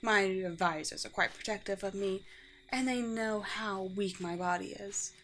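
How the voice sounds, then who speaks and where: A woman playing a courteous, teasing, world-weary vampire queen in a roleplay audio drama, with formal, old-fashioned and gently wry phrasing. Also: mid-range voice, a little slow, natural voice. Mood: gracious, playful. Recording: clear background noise with ambience.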